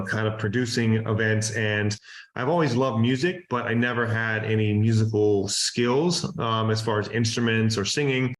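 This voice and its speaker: A man in his forties from Hawaii, a festival promoter telling his story in a relaxed, rambling way with many fillers and restarts. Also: on the low side, slight background noise. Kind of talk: casual interview storytelling. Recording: roomy echo.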